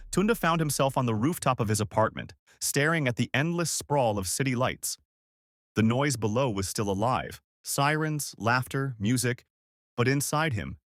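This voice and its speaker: A man's voice: medium pitch, lively intonation, normal speed, fairly bright tone, no echo, clean studio sound. A man narrating a scripted story as a storyteller.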